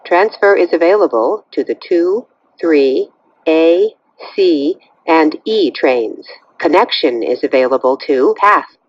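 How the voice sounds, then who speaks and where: A woman's voice: sits low, expressive, slow, dull audio. A woman reading scripted automated announcements for train passengers.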